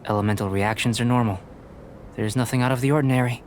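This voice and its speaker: A man's voice: medium pitch, steady tone, fast talker, darkish tone, a little hiss or hum, close to an everyday voice. An actor playing a composed young male alchemist in a game, speaking in a precise, clinical way. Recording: game audio with music.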